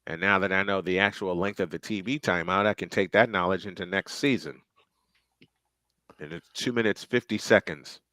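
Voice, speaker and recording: A man calls a football game live with a bright, crisp voice. He chats and thinks aloud between plays. The sound is dry and close to the mic. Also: deep voice, moderate pace, no echo. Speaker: play-by-play commentator.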